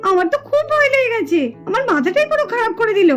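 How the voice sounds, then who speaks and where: A woman acts out an irritable young aunt from a doll cartoon in an extremely high-pitched voice. She scolds very quickly and dramatically, proud and easily rattled. Faint music plays behind her. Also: sing-song. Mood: indignant. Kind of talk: exaggerated Bengali doll-play cartoon acting.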